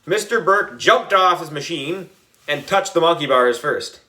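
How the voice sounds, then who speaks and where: A man narrating a children's story aloud in a playful, expressive, exaggerated way. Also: a little high, unhurried. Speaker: audiobook reader.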